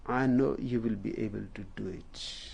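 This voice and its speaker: A man with an Indian accent, a native Hindi speaker, telling a story as a spiritual teacher in a deliberate, unhurried way with long pauses. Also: on the low side, vocal fry, darkish tone, husky. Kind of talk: slow storytelling discourse.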